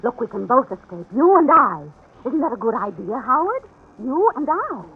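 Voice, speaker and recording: A woman's voice: on the high side, sing-song, normal speed, breathy edge, phone-quality sound, exaggerated voice. An actress playing a scheming, vain woman in a 1940s radio horror drama, urgent and melodramatic. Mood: desperate coaxing. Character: scheming, vain woman pleading with an armed killer. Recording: noisy, thin old radio broadcast recording.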